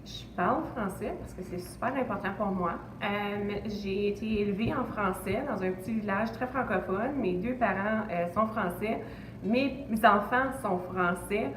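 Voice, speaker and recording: A woman's voice: mid-range voice, a little slow. A woman speaks sincerely in a short interview, with a few fillers. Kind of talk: vox-pop testimony. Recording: roomy, noisy recording.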